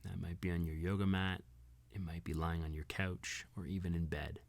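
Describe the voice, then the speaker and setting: A yoga instructor guiding a sleep meditation in a soothing, unhurried way with long pauses. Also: low voice, level tone. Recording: singing bowl tones over the voice. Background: singing bowls and sound bath tones.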